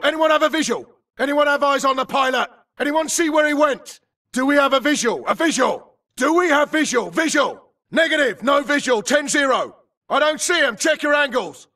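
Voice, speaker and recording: An actor playing a tough, aggressive infantry grunt in a video game, barking clipped, urgent military callouts. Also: male voice, very high voice, recorded from a distance, exaggerated voice. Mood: alert, searching. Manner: clipped, urgent, forceful delivery. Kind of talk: military combat callouts, short shouted barks.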